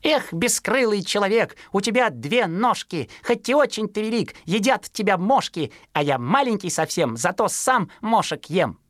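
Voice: mid-range voice, very animated, normal speed, hardly any pauses, no room sound, clean studio sound, pitched above the performer's own voice, close to an everyday voice. A narrator voicing a naive, stubborn young sparrow boy with a boastful chirping manner in a children's storybook recording. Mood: boastful singsong. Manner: chirping, boastful, argues back. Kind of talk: children's audio storybook character acting.

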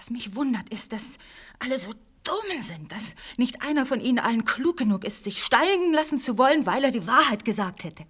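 An actress playing a brooding, intense, bookish mother in a literary radio play, hushed at times and insistent at others. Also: a little high, sing-song, a little fast, breathy edge, full character voice. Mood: insistent, bitter.

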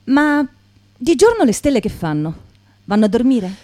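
A woman acting in poetic radio theatre as a quiet, dreamy companion with simple, lyrical phrasing. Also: fairly high pitch, sing-song, normal speed, dry recording.

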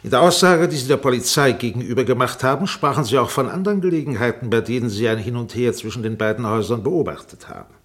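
An actor playing a sharp, sarcastic defense barrister in a radio courtroom drama, pressing, insinuating and commanding. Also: male voice, mid-range voice, lively intonation, fast, few pauses, lightly acted. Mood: needling, insistent. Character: sharp, sarcastic male defense barrister cross-examining a witness.

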